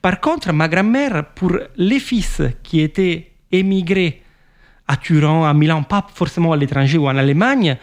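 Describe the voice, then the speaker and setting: A man with an Italian accent talks about his writing, pausing and restarting as he thinks. His voice sits fairly high for a man. He sounds reflective and unhurried. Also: lively intonation. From Italy. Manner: thoughtful, hesitant, with frequent restarts and fillers. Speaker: author being interviewed. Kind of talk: reflective conversational answers.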